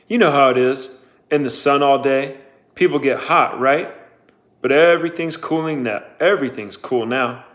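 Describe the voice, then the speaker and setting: A man reading aloud, voicing a teenage boy who calmly talks his way out of trouble. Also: mid-range voice, moderate pace, natural voice. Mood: smoothing over. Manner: soothing and persuasive.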